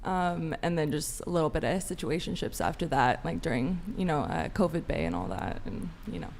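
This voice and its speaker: A woman's voice: low voice, lively intonation, fairly quick, vocal fry, a little mellow, a little hiss or hum. A woman chatting casually as a panel guest, hedging her answers with loose filler phrases. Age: 20s or older. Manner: hedging, frequent "like" and "you know". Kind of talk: casual chat.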